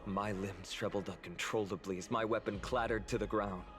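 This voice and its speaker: An actor playing a proud, composed young warrior in a video game, speaking formally and earnestly. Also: male voice, on the low side, fairly even intonation, moderate pace, lightly acted. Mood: grave. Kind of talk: dramatic video game acting. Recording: game music behind voice.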